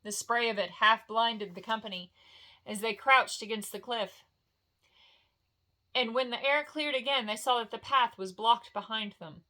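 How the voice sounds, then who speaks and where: Female storyteller: bright voice, expressive fantasy narration, homely and informal, like a bedtime read-aloud. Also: on the high side, moderate pace, rough recording. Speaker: storyteller reading a novel aloud.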